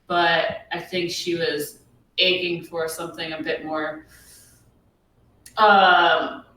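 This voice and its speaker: A woman with a deep voice co-hosting a podcast, musing in a casual, hesitant way with many ums. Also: little pitch movement, slow pace, sharp tone, heavy reverb, far from the mic, slight background noise, low-quality recording. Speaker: podcast co-host. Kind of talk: casual recap chat.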